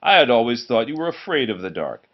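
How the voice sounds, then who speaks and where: A man's voice: mid-range voice, sing-song, fast, highs cut off, pitched above the performer's own voice. A man voicing a cheeky, easily frightened young male steam engine in a children's storybook. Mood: teasing.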